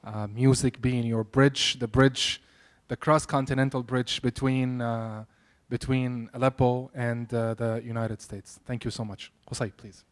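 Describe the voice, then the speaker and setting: A man moderating a panel, thanking a speaker in a halting way and repeating words. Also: medium pitch, little pitch movement.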